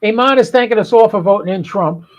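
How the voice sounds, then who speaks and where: A man's voice: high voice, quick pace, lo-fi sound. A man hosting a hobby podcast, chatting informally and thinking aloud in a rambling live-stream style. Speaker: amateur radio podcast host.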